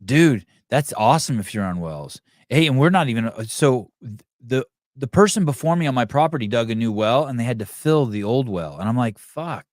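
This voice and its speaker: A man hosting a podcast, chatting in a casual, profane, animated way and telling stories with put-on voices. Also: medium pitch, brisk, dry recording, clean studio sound. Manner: profane, animated storyteller who does voices. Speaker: podcast host. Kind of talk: casual banter. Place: California home.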